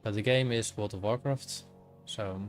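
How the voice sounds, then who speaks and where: Low-voiced Dutch man streaming a game. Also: fairly even intonation, soft background music. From Netherlands. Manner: halting, thinks aloud with many fillers and restarts. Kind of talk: casual livestream commentary while gaming.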